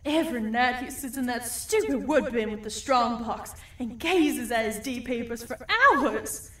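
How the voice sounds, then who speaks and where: A woman's voice: fairly high pitch, a little slow, a bit bright. A woman voicing a greedy, feisty female cartoon duck in a fan comic dub, theatrical and scornful. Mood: scheming. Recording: roomy, distant home recording over music.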